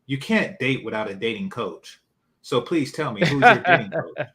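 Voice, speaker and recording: A man's voice: mid-range voice, big pitch swings, normal speed, crowd noise. A man co-hosting a casual chat, questioning a caller in a teasing, mock-incredulous way. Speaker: co-host.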